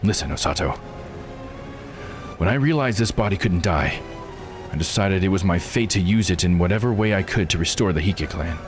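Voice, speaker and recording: Vengeful immortal lord for a visual novel, played by a man: low, grim, brooding, dramatic, with music clearly behind. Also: lively intonation, moderate pace, long pauses. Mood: brooding anguish. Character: bitter eight-hundred-year-old immortal lord, vengeful and brooding.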